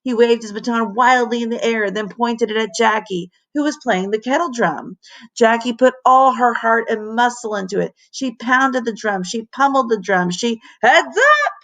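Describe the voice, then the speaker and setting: A woman teacher reading a children's story aloud in an expressive narration, with dramatic emphasis on key words. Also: a little high, normal speed.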